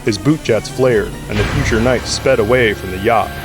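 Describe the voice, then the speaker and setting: A man's voice: fairly low pitch, normal speed, over music. A man reading scripted third-person narration for an audio drama.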